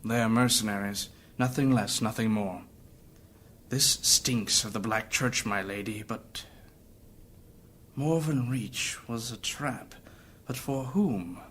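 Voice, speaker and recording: A man's voice: on the low side, slow pace, fairly bright tone, slight background noise. A man playing a grave, guarded adventurer in a fantasy audio drama, speaking in solemn, formal, old-fashioned phrasing. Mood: brooding. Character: grave battle-worn adventurer recounting past horrors, courteous, guarded.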